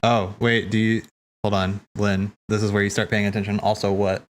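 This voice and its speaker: A man with a fairly low voice hosts a live watch party. He talks casually and slangily, teasing his chat with animated reactions while soft music plays behind him. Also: moderate pace. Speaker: streamer hosting a watch party. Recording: game music playing behind.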